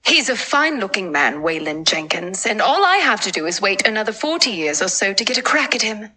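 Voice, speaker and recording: A saucy lady ghost in an audio drama. She is coy and teasing, with a bright voice and very lively, swooping intonation. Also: mid-range voice, a little fast. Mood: flirty, teasing.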